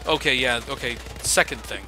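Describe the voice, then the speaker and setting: A man's voice: medium pitch, moderate pace, pushed and bright. Sarcastic man commentating gameplay. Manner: sarcastic, swears casually. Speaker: let's play commentator. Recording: game noise underneath.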